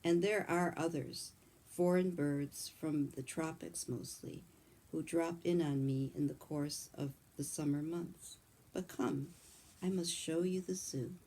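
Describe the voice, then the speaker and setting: A woman voicing a kindly old male country doctor, chatting calmly in a read-aloud storybook. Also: sits low, slow, low-quality recording. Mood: easygoing.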